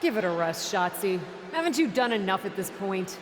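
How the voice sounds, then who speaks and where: A woman's voice: mid-range voice, sing-song, normal speed, natural voice. An actress playing a cocky, self-important female wrestler in a video game, giving a showy, sarcastic promo. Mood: sarcastic, annoyed. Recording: long arena reverb, clear background noise.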